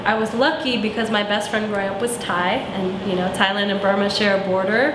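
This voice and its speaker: A young woman from Arizona answering interview questions thoughtfully, often pausing with "um" as she searches for words. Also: medium pitch. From Arizona, USA. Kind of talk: reflective personal interview. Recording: echoey room with clear background noise.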